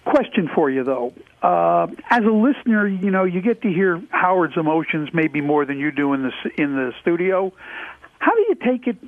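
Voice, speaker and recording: A man's voice: sits high, animated, normal speed. A man calling a radio show by phone and chatting casually. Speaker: caller. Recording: narrow dry phone line.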